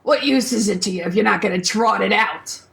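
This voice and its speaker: An actress playing a wary, tough records insider in a radio play, with a blunt, folksy, wry manner. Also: female voice, medium pitch, a little fast, vocal fry, fairly bright tone. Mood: firm, wary.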